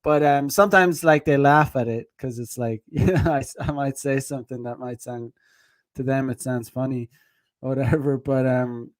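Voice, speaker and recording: A man talks loosely on a casual stream, circling back on himself with constant fillers and frequent laughs. His voice sits in an ordinary middle range. The sound is dry and close, with no room echo. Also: mid-range voice. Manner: rambling, heavy on fillers, laughs often. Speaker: dating advice streamer. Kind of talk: casual rambling livestream talk.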